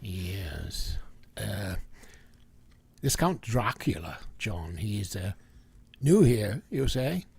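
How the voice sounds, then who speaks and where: An actor playing a grave, urgent professor in a radio horror drama, probing and commanding, with a gravelly husky voice. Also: male voice, fairly low pitch, very animated, extremely slow, pauses often, a little mellow, no echo, full character voice. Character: Van Helsing, grave, urgent Dutch professor and vampire hunter. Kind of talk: vintage radio drama acting.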